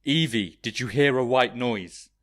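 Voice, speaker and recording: A man acting a gruff, cynical schoolteacher in a naturalistic audio drama, blunt and sardonic. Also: medium pitch, measured pace, fairly bright tone. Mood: accusing. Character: cynical, gruff male schoolteacher trapped in a crisis.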